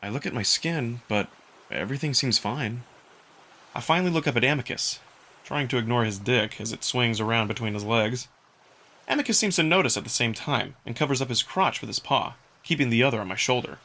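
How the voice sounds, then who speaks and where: Male narrator for visual novel, first person: expressive, dramatic, lively intonation, bright forceful tone. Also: medium pitch, fairly quick.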